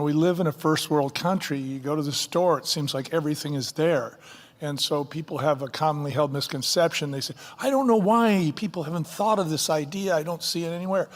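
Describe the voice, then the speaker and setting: An older man with a fairly high voice makes his points wryly and emphatically, piling up quick examples. A long reverb hangs on his words. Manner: wry, emphatic, punchy lists. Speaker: company co-founder, guest. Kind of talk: conversational interview.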